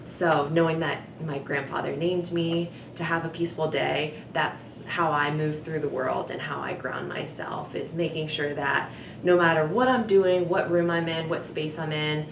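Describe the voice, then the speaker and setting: A young woman with a notably low voice shares personal stories in an earnest, reflective tone. Her words are loosened by frequent "like" and "um." The sound is roomy and echoey, with a low rumble running behind her. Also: gravelly. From United States. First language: Vietnamese. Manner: earnest and reflective, many "like" and "um" fillers. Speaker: communications director. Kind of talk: personal storytelling to camera.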